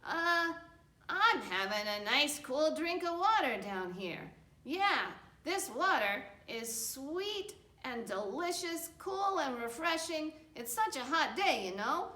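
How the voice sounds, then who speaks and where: Puppet-show fox, female performer: male, sly smooth talker, exaggerated delivery, lively pitch swings, echoey room. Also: takes it slowly, full character voice. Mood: coaxing. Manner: smooth-talking, showy, exaggerated. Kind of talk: children's puppet show storytelling.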